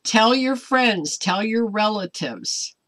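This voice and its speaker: An American woman in her seventies preaching informally to camera at home, earnest and exhortatory in a deliberate way. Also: medium pitch, expressive, a bit bright. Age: 70s. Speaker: online ministry preacher. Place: small cluttered home room, indoors.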